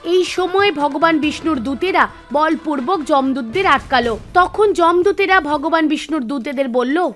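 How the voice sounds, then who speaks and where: A woman reading scripted narration for an animated devotional tale, with music behind her. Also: high voice, moderate pace. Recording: music bed under the voice. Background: background music.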